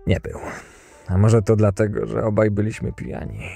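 A cool, cynical camp boss acted by a man narrates his own fantasy audio drama. His voice is low and mellow, dry and detached, over faint music. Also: little pitch movement, normal speed, vocal fry.

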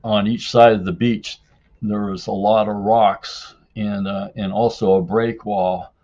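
A man telling a personal story casually in an unhurried, folksy way, as in a listener's voicemail. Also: fairly low pitch, flat delivery, warm tone. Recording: dull, lo-fi voicemail-like sound.

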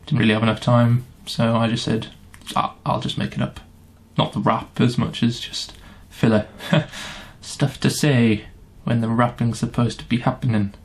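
A man's voice: mid-range voice, level tone, moderate pace, hardly any pauses. Wry young man, noisy recording. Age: 20s. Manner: wry, self-deprecating. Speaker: amateur pantomime performer. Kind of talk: casual chat to camera. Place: small room indoors. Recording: clearly noisy room sound.

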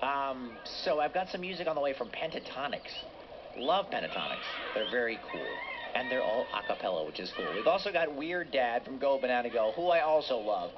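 A man's voice: mid-range voice, expressive, fairly quick. A man hosting a children's radio show with casual, upbeat patter. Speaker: radio host. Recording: muffled radio replayed through a speaker, noisy.

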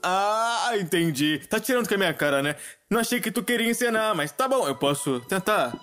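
A man's voice: high voice, expressive, fairly quick, music in the background. A man with a Brazilian accent voicing a crude, volatile little demon imp in a dubbed adult cartoon, brash and emotional. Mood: confused, nervous. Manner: brash, foul-mouthed, emotional.

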